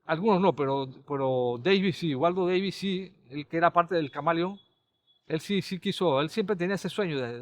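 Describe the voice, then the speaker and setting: A middle-aged Panamanian man, a caricaturist and comedy writer, recalling his TV work in a relaxed, rambling way with many restarts. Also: fairly high pitch, dry recording. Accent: Panamanian Spanish. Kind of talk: relaxed conversational storytelling.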